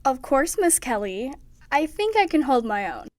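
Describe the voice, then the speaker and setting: A woman playing a confident, eager and possessive high school drama student in an audio comedy. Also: big pitch swings, moderate pace, no room sound.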